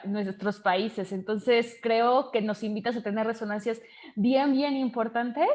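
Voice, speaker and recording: A woman hosting a podcast interview, giving thoughtful, measured reflections to her guest. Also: a little high. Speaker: podcast host. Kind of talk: casual interview conversation.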